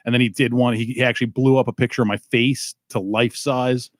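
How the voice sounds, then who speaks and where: Male comedy podcast host, profane and teasing, mid pitch, dry close mic. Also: steady tone, fairly quick, dry recording. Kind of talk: crude casual banter.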